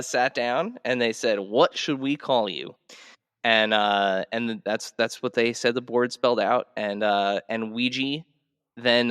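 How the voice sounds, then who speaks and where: A man's voice: mid-range voice, sharp tone, clear, resonant tone, clean studio sound. Casual podcast host, man, reverberant sound. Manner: halting, conversational explainer. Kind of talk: casual podcast talk telling history.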